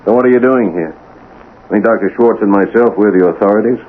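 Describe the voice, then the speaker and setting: A male actor plays a gruff, cynical homicide cop in an 1980s radio drama. He is blunt and doubting, in a lowish voice. The recording is thin and tinny with a faint hiss. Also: a little fast, close to an everyday voice. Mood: suspicious. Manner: gruff, skeptical, hard-boiled. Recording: tinny, noisy old radio broadcast.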